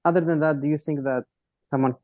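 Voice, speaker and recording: Greek accent guy, halting, keeps restarting, mellow dry voice. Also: on the high side, fairly even intonation, no room sound. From Greece. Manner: hesitant, halting, many restarts. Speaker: caller on a support call. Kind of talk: casual question on a group call.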